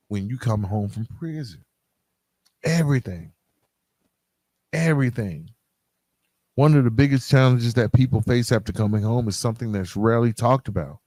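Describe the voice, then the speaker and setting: An American man delivers a conversational podcast monologue straight to his listeners. His pitch moves freely around a middle register, and he leans on streetwise phrasing, repetition and rhetorical questions. The sound is dry, with very little room in it. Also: a little mellow, no echo. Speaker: podcast host.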